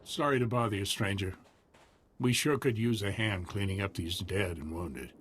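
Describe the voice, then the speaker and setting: A man voicing a humble, cautious frontier militia leader in a video game, husky and folksy. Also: lowish voice, moderate pace, a bit bright, a little hiss or hum, natural voice. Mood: weary.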